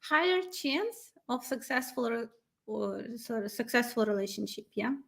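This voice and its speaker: Higher-pitched Ukrainian woman, halting English. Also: animated, gravelly, fairly bright tone. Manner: giggly, halting non-native English. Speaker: co-host of a live dating-advice show. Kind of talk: casual livestream chat.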